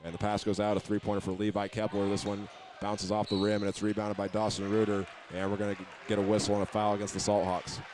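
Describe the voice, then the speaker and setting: Male American basketball announcer, very even delivery, steady move-by-move live call over clearly audible gym noise. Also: lowish voice, fast. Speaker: play-by-play sports announcer. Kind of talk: live basketball radio commentary. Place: basketball game in a gymnasium.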